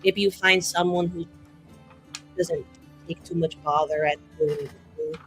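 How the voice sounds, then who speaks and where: A woman's voice: lowish voice, fairly even intonation, a little slow, soft background music, voice pushed down. A woman playing a cheeky, catty young woman in a tabletop game, with casual, sarcastic delivery. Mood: mock-innocent needling. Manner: casual, filler-laden, sarcastic. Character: cheeky, catty young woman, falsely sweet, needling a rival.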